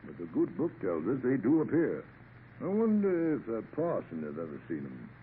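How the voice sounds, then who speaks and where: An actor playing a big, pious, gruff and bossy sea captain with a commanding manner in a 1950s radio drama. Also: male voice, mid-range voice, wildly swooping pitch, normal speed. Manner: commanding, blustery, hems before speaking. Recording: tinny, hissy old radio recording.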